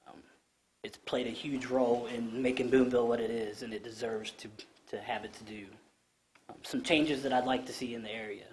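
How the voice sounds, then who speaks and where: A man in his thirties with a low voice, speaking earnestly at a podium microphone during public comment at a council meeting, with plenty of ums and restarts. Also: steady tone, brisk, a little hiss or hum, low-quality recording. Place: council chamber.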